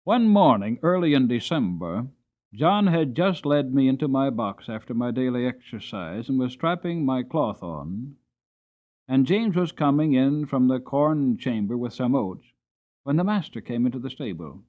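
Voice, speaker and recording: A man's voice: medium pitch, expressive, moderate pace, phone-quality sound. A man narrating a story as a dramatic audiobook reader.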